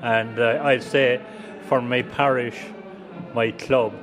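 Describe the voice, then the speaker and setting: Slow-talking elderly Irishman. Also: medium pitch, flat delivery, over music. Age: over 70. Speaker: former team captain being interviewed. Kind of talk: reminiscing.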